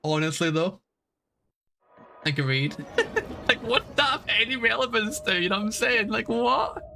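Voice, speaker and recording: A man's voice: high voice, expressive, unhurried, gravelly, pushed and bright, a little hiss or hum. A man doing casual live gameplay commentary as a streamer, rambling and informal, with some swearing. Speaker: game streamer. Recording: stream with game music behind. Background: video game music.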